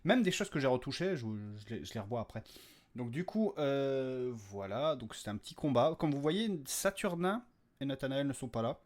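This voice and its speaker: A man's voice: mid-range voice, very animated, normal speed, darkish tone. A man, the creator and host, chatting casually and unscripted to his listeners in a hesitant, rambling way.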